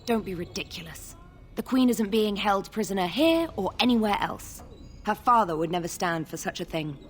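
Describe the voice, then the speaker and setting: A woman's voice: medium pitch, lively intonation, normal speed, close to an everyday voice. An actress playing a stern, proud woman knight in a video game, speaking formally with scornful authority. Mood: scornful, firm.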